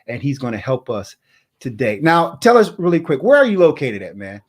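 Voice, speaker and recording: A man's voice: mid-range voice, very animated, slightly rough. Upbeat male podcast host. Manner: enthusiastic, quick reactions. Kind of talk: interview questions.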